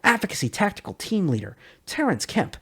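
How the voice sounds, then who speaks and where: Male narrator for sci-fi lore read, husky tone, emphatic and theatrical, widely varied intonation. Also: high voice, very animated, fairly quick, sharp tone. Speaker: narrator, lore video creator. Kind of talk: dramatic reading of science fiction lore.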